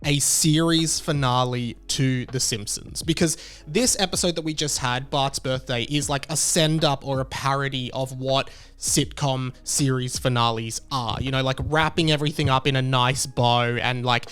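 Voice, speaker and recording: A man's voice: medium pitch, steady tone, normal speed, fairly bright tone, clear, resonant tone. A man hosting a video, giving casual, conversational commentary. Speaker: YouTube host and reviewer.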